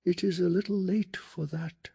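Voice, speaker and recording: A man voicing a determined but shaken young boy in a hushed, urgent storybook reading. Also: high-pitched, steady tone, normal speed, creaky, husky, pitched above the performer's own voice, heavily acted. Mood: tense.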